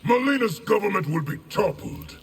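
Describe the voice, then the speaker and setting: An actor playing a ruthless, proud male warlord general in a video game, boastful and threatening, often shouting. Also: high-pitched, lively intonation, moderate pace, slight background noise. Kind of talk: video game character voice acting.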